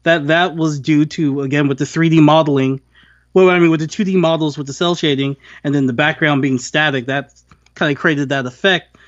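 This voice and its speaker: Casting: male podcast co-host, fairly high voice, casual review chat with acted-out quotes, dry slightly muffled recording. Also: steady tone, a little fast, no room sound. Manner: acts out imagined quotes while talking.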